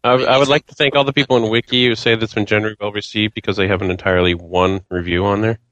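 A man's voice: lowish voice, fairly even intonation, racing, a bit bright, no room sound, lo-fi sound. A man bantering casually as a gaming podcast panelist, with a teasing, sarcastic manner.

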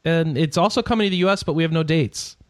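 A man's voice: a little high, fast talker, no echo. A man hosting a casual podcast, reading out gaming news with rambling, sarcastic asides that trail off into mumbles.

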